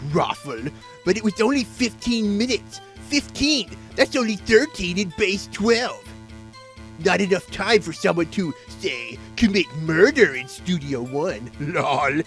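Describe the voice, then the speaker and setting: A man's voice: sits high, fairly bright tone, exaggerated voice. A man playing a nerdy, flippant witness in an amateur courtroom game fan dub, offhand and geeky. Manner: geeky, offhand, spells out slang. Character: nerdy, flippant male witness talking in internet slang.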